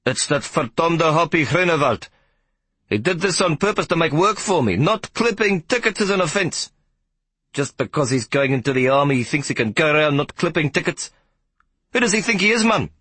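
A man's voice: on the high side, sing-song, measured pace, a bit bright, husky, dry recording, voice pushed up, heavily acted. A man voicing a sour, harried male train conductor, whiny, accusing and self-pitying.

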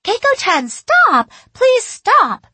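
A frightened schoolgirl, far higher than an adult. She pleads tearfully and urgently, close and dry on the microphone. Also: huge pitch swings, slow pace, pushed and bright, dry recording, heavily acted. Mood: tearful panic.

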